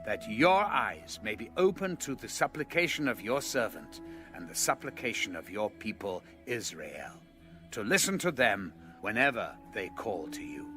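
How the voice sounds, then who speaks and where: An actor playing a devout ancient king in a dramatized Bible reading, solemn, measured and reverent. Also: lowish voice. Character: devout ancient king praying before his people.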